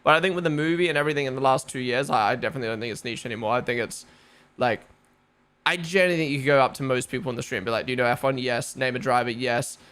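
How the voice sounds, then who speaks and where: A man's voice: mid-range voice, rapid-fire, fairly bright tone, smooth. A young man with a New Zealand accent, rambling casually as a live stream host, full of fillers and swearing. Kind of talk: casual live watch-along chat.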